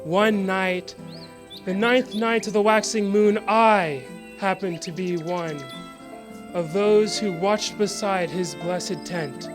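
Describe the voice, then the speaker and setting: A woman giving a scripted dramatic reading in a reverent, measured storytelling manner. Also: medium pitch. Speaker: dramatic reader of a historical account. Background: music and birdsong.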